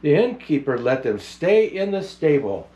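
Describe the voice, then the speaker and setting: Guy doing a jolly old santa reading kids a storybook, kinda high for a man, really up and down, grandfatherly. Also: big pitch swings, warm tone. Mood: gentle storytelling. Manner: unhurried, chuckling, grandfatherly. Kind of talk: picture book read-aloud with chatty asides.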